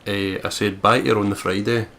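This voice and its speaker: A man's voice: fairly low pitch, flat delivery, fairly quick, a bit bright. A man with a Scottish accent, doing casual gaming commentary and reading out game narration. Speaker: let's play commentator.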